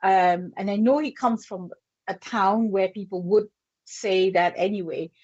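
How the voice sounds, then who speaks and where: A woman's voice: mid-range voice, measured pace, darkish tone, breathy edge, dry recording. A woman with a Yorkshire accent giving a psychic reading, thinking aloud with many fillers and restarts. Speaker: psychic medium. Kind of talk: live psychic reading on air. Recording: dull lo-fi sound.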